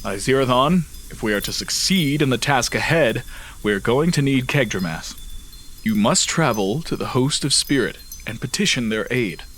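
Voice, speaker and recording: A man playing a solemn, resolute lord warden in a fantasy audio drama, speaking in a grave, measured way. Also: mid-range voice, lively intonation, a bit bright, slight background noise, close to an everyday voice.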